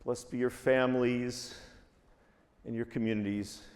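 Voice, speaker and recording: A man's voice: mid-range voice, level tone, a little slow, darkish tone. A man solemnly leading a congregation in communal song and blessing, exhorting those gathered. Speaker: song leader and speaker giving a blessing. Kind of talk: communal sing-along and spoken blessing. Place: large conference hall, on stage. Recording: long hall reverb.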